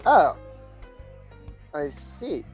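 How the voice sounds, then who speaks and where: A woman's voice: low voice, big pitch swings, extremely slow, darkish tone, exaggerated voice. Earnest, flustered young male psychic. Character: eager, nervous young male psychic, a tryhard kid. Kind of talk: scripted audio drama acting. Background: music.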